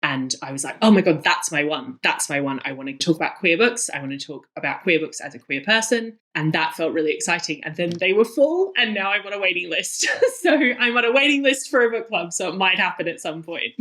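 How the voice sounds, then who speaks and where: A woman in her thirties with a low-pitched voice, talking as a guest in a conversational interview. She is effusive and leans on repeated words to press her point. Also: expressive, fairly quick, a bit bright. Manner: effusive, emphatic, often repeats words for emphasis. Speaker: guest, cookbook author.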